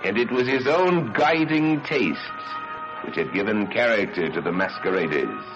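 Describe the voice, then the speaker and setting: A man narrating a gothic horror story in a solemn, theatrical old-time radio style, with deliberate, dramatic phrasing. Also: lowish voice, expressive, lo-fi sound. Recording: band-limited old radio sound with music. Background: music.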